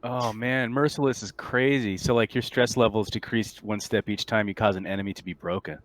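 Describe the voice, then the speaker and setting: A man's voice: fairly low pitch, fairly even intonation, a little fast, a little hiss or hum. A man chatting casually with fellow tabletop role-playing gamers. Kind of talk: casual chat.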